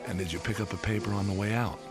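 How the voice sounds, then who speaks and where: A man's voice: on the low side, steady tone, racing, over loud music, natural voice. An actor playing a wry, skeptical airman laid up in hospital, in a radio drama.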